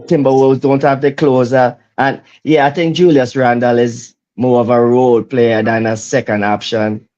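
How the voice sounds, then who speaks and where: A man's voice: medium pitch, fairly even intonation, moderate pace, a little mellow, highs cut off. A man hosting a casual chat, teasing his guests with slangy jokes and laughing. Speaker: host.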